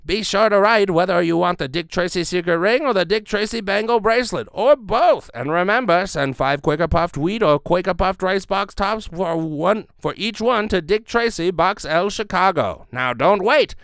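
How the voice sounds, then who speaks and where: Man as 1930s radio pitchman, enthusiastic, raised voice, emphatic, lively intonation, dry close mic. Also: normal speed, creaky, hardly any pauses, clean tone, no room sound, full character voice. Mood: enthusiastic selling. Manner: emphatic, salesmanlike. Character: booming, enthusiastic male radio announcer pitching cereal premiums. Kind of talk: 1930s radio advertising announcer.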